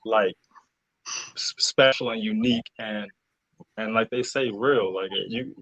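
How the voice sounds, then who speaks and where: A man talking casually as an interview guest, halting and searching for words, often interrupting himself. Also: lowish voice, fairly bright tone, no echo, poor audio. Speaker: interview guest, cast member. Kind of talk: casual conversational interview talk.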